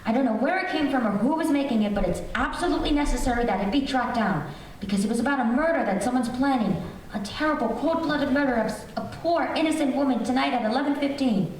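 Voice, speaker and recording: An actress plays an anxious, bossy invalid wife in an old-time radio thriller. Her voice is high and fussy, full of indignation. It comes from far off in a very echoey space with faint noise. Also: quick pace, a little mellow, natural voice. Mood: urgent, alarmed. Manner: imperious, agitated, fussy. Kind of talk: old-time radio drama stage acting.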